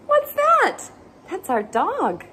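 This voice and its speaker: High, sing-song young woman reading to toddlers. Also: wildly swooping pitch, takes it slowly, sharp tone, a little hiss or hum. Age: young adult. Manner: exaggerated, playful, gasps and questions. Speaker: children's story time host. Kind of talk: animated picture-book read-aloud for toddlers. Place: small indoor playroom with bookshelves.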